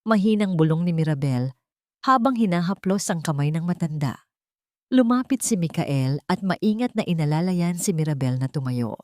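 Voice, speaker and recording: Woman reading a dramatic story, lowish voice, measured n serious, very dry sound no echo. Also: animated, darkish tone. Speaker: narrator of Tagalog love stories. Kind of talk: scripted dramatic story narration.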